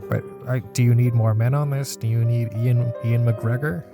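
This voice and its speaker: A man playing an exasperated, bumbling president in an improvised comedy audio drama, talking in a halting, conversational way. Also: medium pitch, steady tone, creaky, music in the background, lightly acted. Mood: exasperated, flustered. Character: exasperated male president, bumbling and easily embarrassed.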